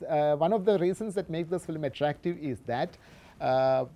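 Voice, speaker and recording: A woman presents a scholarly paper, reading out passages and explaining them in a halting way, often correcting herself. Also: deep voice, mellow. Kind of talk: scholarly lecture read from a paper with spoken asides.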